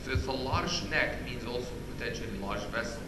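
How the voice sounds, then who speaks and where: A man with a loud voice giving an interactive medical lecture, quizzing the audience and restarting his sentences often. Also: mid-range voice, lively intonation, a little fast, over loud music. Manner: questions the audience, many restarts. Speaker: physician lecturer. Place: conference hall.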